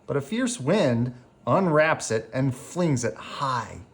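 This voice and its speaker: A storyteller reads a picture book aloud at a slow, careful pace. The pitch sits in a middle range and moves in lively swings, with animated phrasing made for young children at bedtime.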